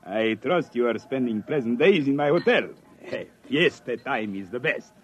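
An actor playing a deferential, excitable hotel keeper with a Mexican Spanish accent in a classic radio drama. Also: male voice, medium pitch, normal speed, close to an everyday voice. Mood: courteous. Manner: deferential, hesitant, flustered formal speech. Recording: dull old radio recording.

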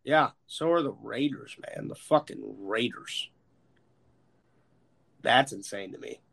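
American man, sports podcast host, stuffy congested voice, slightly hoarse, casual swearing, emphatic, opinionated, medium pitch. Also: slow pace, fairly bright tone. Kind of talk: casual, profane football chat.

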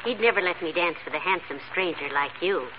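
An actress playing a sweet, patient, dryly teasing wife in a 1940s radio comedy. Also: female voice, on the low side, brisk, close to an everyday voice. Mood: playful. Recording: tinny, very noisy old radio broadcast.

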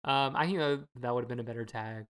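A woman's voice: very deep voice, fairly quick, clean tone. A woman co-hosting a podcast in casual, opinionated chat with plenty of fillers. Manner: relaxed, opinionated, many fillers. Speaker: podcast co-host.

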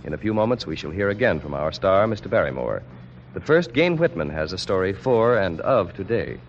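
1940s American radio announcer, animated man. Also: low voice, brisk, a little hiss or hum. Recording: dull 1940s radio broadcast, music behind.

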